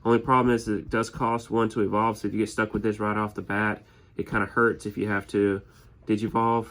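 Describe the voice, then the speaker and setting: A low-pitched man with a sniffly head cold, talking in an even, flat tone. He explains his deck in an easygoing way, with frequent "uh"s and restarts, sounding relaxed and unhurried. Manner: easygoing, many fillers and restarts. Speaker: tournament winner presenting his deck. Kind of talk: casual deck walkthrough.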